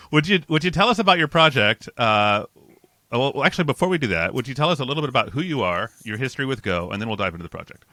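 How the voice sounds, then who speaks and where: A chatty man in his forties hosts a relaxed interview, his voice bright and forward on a close studio mic. The sound is dry, with no room around it. He talks upbeat, often restarting his sentences. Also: medium pitch, brisk, no echo. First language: not Dutch. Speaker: host. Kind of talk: casual interview and code review. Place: home office with bookshelves, indoors. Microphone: large studio mic and headphones, close.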